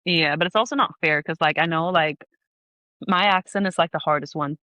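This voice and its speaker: A woman's voice: sits low, a little fast, pushed and bright, no echo. A young woman from the south of Sweden, a podcast guest who speaks English with a Swedish accent. She chats casually, says "like" constantly and swears freely. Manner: chatty, fills every sentence with "like", swears freely. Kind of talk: casual chat. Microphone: remote call.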